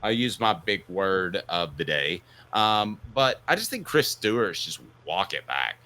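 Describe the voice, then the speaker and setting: A man in his fifties with a fairly low, bright voice hosts sports talk. His pitch swings widely as he rolls through opinions and casual stories, jokey and mock-indignant. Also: big pitch swings, unhurried. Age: 50s. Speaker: sports talk show host.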